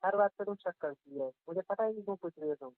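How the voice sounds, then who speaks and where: A man's voice: high voice, expressive, fast talker, dry recording, soft background music. A young man playing a jealous, sulky college boyfriend in a naturalistic phone-call roleplay, grumbling, accusing and defensive. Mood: irritated, accusing. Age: young adult. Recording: tinny, narrow phone-call sound.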